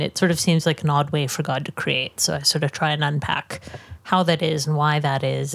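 A woman's voice: low-pitched, creaky, no echo. A woman from Canada, a guest theologian, explaining her work with dry, self-deprecating humour. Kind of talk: casual interview.

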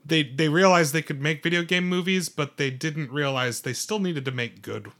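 A man's voice: a little high, moderate pace, a bit bright. A man talking casually as part of a podcast panel. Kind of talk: casual chat.

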